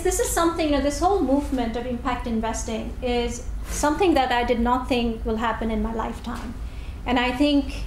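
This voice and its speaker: A woman's voice: on the high side, slight background noise. A woman in her forties from Bangladesh giving a public talk in a lecture hall, telling stories with many restarts and fillers. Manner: chatty, warm storytelling with many restarts and fillers. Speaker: guest speaker. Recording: echoey room recording.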